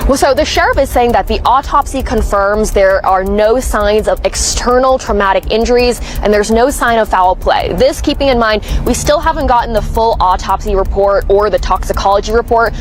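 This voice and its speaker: A woman's voice: medium pitch, expressive, normal speed, hardly any pauses, soft background music. A woman giving a live broadcast news report.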